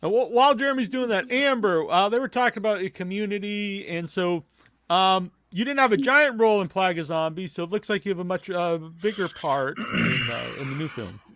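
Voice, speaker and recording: High-pitched, animated man hosting. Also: a little fast, dry recording. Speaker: podcast host. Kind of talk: casual interview chat. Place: remote group stream.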